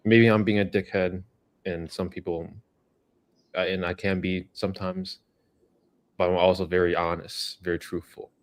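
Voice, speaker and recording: Male co-host, low voice, frank motivational tough love. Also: level tone, moderate pace, long pauses. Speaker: co-host answering chat questions. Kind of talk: casual live-stream chat.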